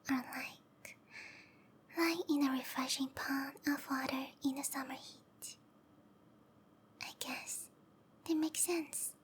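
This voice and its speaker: A woman voicing a sweet, obsessive snake-woman lover in a whispered ASMR roleplay, speaking softly and tenderly with intimate, lingering phrasing. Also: high voice, fairly even intonation, takes it slowly, pauses often, slight background noise. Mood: soothing, possessive. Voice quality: soft, breathy whisper.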